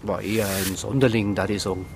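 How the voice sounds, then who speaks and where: A man's voice: flat delivery, fast, lightly acted. An actor playing a plain-spoken small-town policeman with a Bavarian accent, folksy and colloquial, in a radio crime drama. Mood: folksy, subdued. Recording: rumbling noise behind voice.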